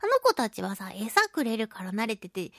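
A woman's voice: medium pitch, very animated, moderate pace, fairly bright tone, no echo, clean studio sound. A woman hosting a solo radio talk show, telling stories casually and animatedly, with sound-effect words and playfully polite phrasing.

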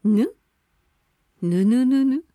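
High-pitched, animated woman radio host. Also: drawn-out words, no room sound, studio quality. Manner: playful, expressive, giggly. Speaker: radio show host, voice actress. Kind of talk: casual chatty talk reading listener mail.

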